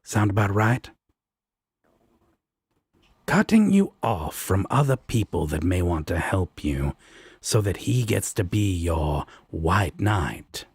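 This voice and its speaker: A man plays a caring devil roommate in an intimate audio roleplay. His voice is low and very breathy, with slow, teasing, reassuring delivery. The sound is close and dry. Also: dry recording, full character voice. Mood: disgusted, protective. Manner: drawling, affectionate, casual reassurance. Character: protective, laid-back male devil roommate, teasing and caring.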